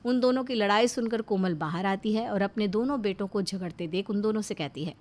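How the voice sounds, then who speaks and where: A woman's voice: medium pitch, brisk, darkish tone. A woman narrating a scripted family story as a storyteller.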